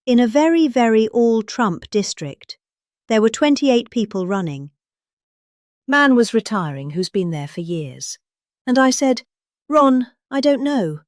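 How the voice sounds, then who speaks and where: A woman's voice: a little high, lively intonation, unhurried, no echo, studio quality. A middle-aged British woman reading a speech aloud in a theatrical, conversational manner, posing rhetorical questions as she goes. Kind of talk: dramatic read-aloud of a speech transcript.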